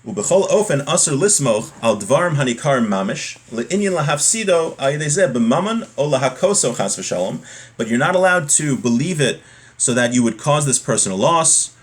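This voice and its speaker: A man's voice: mid-range voice, big pitch swings, fairly quick. Man teaching a religious text, explanatory. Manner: explanatory, repeats and rephrases points. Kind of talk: text-study lesson, reading and explaining.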